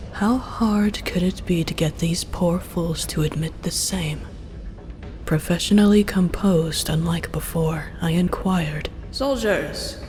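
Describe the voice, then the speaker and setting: A narrator reading a first-person story aloud in a measured, hushed, breathy delivery. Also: mid-range voice. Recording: music under the voice.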